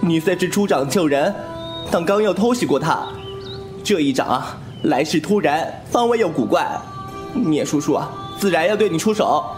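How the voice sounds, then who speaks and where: A man plays a boyish young swordsman in a Chinese wuxia radio play. He sounds fairly high and very animated, frank and cheeky, with music behind him. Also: normal speed, close to an everyday voice. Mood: startled, indignant. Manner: expressive, exclamatory, youthful delivery. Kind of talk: Chinese wuxia audio drama acting.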